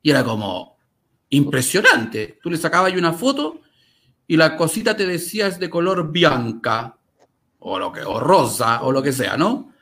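A man's voice: on the high side, very animated, normal speed, bright, forceful tone, breathy edge. A man with a Chilean Spanish accent talking conversationally as an interview guest, articulate and emphatic, repeating phrases to drive points home. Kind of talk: conversational storytelling and commentary.

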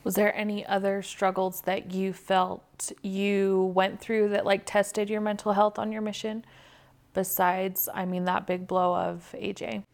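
A woman interviewer asking halting, casual questions. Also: medium pitch, level tone.

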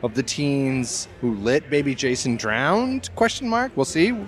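A man's voice: mid-range voice, big pitch swings, measured pace, soft background music. A man hosting a show in casual chat, with a playful, slangy, opinionated way of talking. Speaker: show host. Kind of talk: casual chat about horror films.

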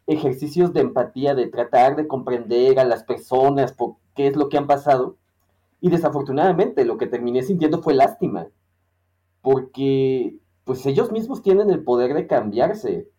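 A man's voice: mid-range voice, lively intonation, moderate pace, dark tone, dull audio. A man with a Mexican accent chatting casually as a podcast co-host, rambling and searching for his words. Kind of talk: casual conversation.

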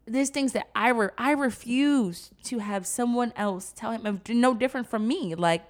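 A woman's voice: on the high side, normal speed. A woman co-hosting a podcast in casual, slangy conversation, telling stories emphatically and acting out what people say. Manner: animated storytelling with acted-out quotes, emphatic slangy delivery. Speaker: podcast co-host.

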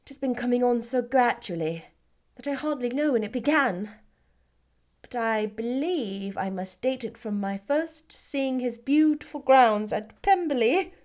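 A woman's voice: fairly high pitch, expressive, unhurried, darkish tone. A woman voicing a spirited, witty young woman who talks playfully and teasingly.

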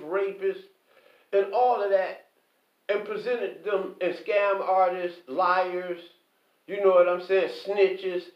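A man with a high-pitched voice gives an emphatic, preachy monologue, circling back to his points and checking in with "okay?" He sounds set back from the mic in a reverberant room, and the recording is dull and lo-fi. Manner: emphatic, repetitive, keeps asking "okay?"; preachy, quotes scripture. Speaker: online commentator. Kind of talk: impassioned monologue. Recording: echoey, distant, dull lo-fi.

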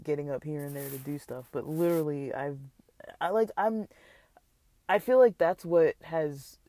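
Vlogger, slightly stuffy and raspy, mellow dark tone, dry close sound; rambling, hesitant delivery full of "like" and "um". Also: medium pitch, dry recording. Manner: rambling, hesitant, many fillers; candid and reflective. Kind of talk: casual personal vlog talk.

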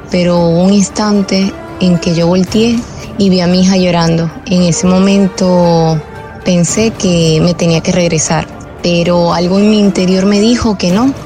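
A woman with a Venezuelan accent, a petroleum engineer, telling her personal story in an earnest, steady way. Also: lowish voice. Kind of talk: personal testimony. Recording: voice over music bed.

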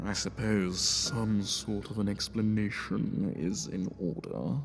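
Man as a smug, slow, sinister animal schemer. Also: fairly low pitch, vocal fry. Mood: smugly sinister. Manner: unhurried, condescending. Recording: hall reverb, faint music.

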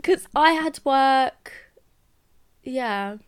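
A woman's voice: sits high, expressive, drawn-out words. A young woman, a podcast co-host, chatting casually and telling a story with lots of "like" fillers. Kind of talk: casual chat.

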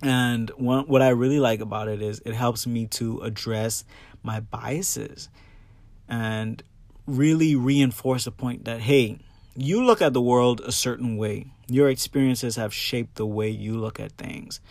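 Expressive man hosting a casual podcast. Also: medium pitch, unhurried, dry recording. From the Bahamas. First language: English. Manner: expressive, emphatic, checks in with listeners. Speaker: podcast host. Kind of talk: personal solo podcast talk, casual and conversational.

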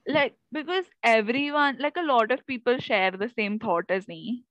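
A woman's voice: on the high side, normal speed, fairly bright tone, no room sound. A young woman chatting casually, filling her talk with "like" and "you know" as she leads the questions. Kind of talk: casual chat. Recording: dull lo-fi sound.

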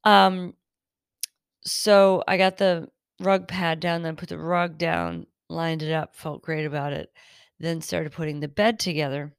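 A woman hosting her own improvised podcast, talking in a chatty, rambling way, her voice raspy and tired, partly lost. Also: low-pitched, fairly even intonation, measured pace, no echo, studio quality. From Northern California. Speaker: podcast host. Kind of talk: improvised solo talk. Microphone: close mic.

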